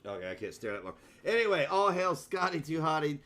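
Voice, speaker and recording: A man's voice: on the high side, sing-song, a little fast, fairly bright tone. A man, chatting casually on a livestream in a rambling, self-mocking way and tripping over his words. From Kentucky.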